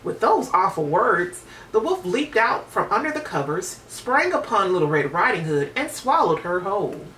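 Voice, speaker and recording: Animated woman reading a children's story. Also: medium pitch, moderate pace. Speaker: storybook reader. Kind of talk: expressive children's story narration. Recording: roomy, distant mic with faint noise.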